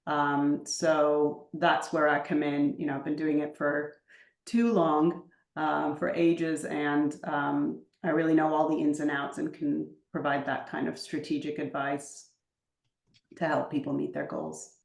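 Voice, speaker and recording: An American woman with a Washington DC accent speaks in a low voice over a video call. She talks chatty and enthusiastic, with plenty of ums. The room around her echoes noticeably. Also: flat delivery, measured pace, creaky, darkish tone. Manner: chatty, filler-heavy, enthusiastic. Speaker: UK immigration advisor. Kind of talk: webinar self-introduction.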